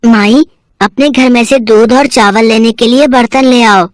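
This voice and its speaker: Boy god, cartoon storybook female performer: high, sweet, calmly commanding, dry sound. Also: a little slow, dry recording, close to an everyday voice. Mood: gently instructing. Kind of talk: devotional cartoon storybook voicing.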